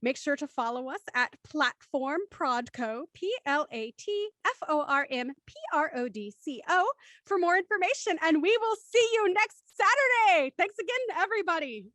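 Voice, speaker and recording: High-voiced woman hosting, dry sound. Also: animated, moderate pace, a bit bright, no room sound. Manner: chatty, laughs between lines. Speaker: host. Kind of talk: livestream cast chat and sign-off.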